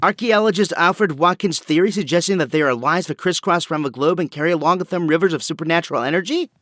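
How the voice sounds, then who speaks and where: A man's voice: a little high, quick pace, fairly bright tone, no echo, studio quality, lightly acted. A man playing an earnest, brainy young inventor hero in an audio drama, with precise, technical, polite speech. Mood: intrigued.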